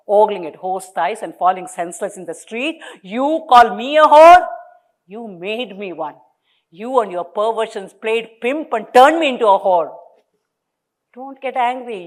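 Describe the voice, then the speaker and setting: A woman reads as a furious young wife in an audiobook, shouting venomous curses. She is loud and biting. Her lines carry open fury. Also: medium pitch, natural voice.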